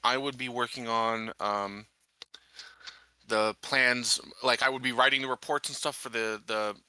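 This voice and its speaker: Player: man, low and very sharp-toned, measured, hesitant improvised role play, dry sound. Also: fairly even intonation, clean tone, no room sound. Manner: measured, hesitant, searching for words. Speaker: tabletop game player.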